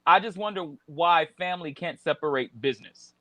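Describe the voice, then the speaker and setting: A talkative man with a Southern US accent, co-hosting a relaxed chat about celebrity gossip. His voice sits high and bright, with lots of restarts and fillers, and the sound is dry and close with no room echo. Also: brisk. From American South. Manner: chatty, many fillers and restarts. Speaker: podcast co-host.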